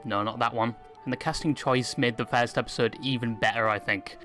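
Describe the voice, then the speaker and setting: A man's voice: mid-range voice, steady tone, brisk, a bit bright. A man narrating a scripted video review, opinionated, with crude joking asides. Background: faint music.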